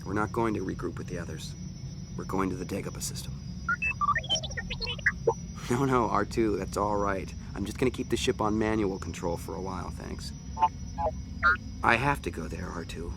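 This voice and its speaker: An actor playing an earnest young rebel pilot in a radio drama, reassuring and quietly determined. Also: male voice, low voice, normal speed, vocal fry, long pauses. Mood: gentle, resolved. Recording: noisy cockpit hum and engine effects.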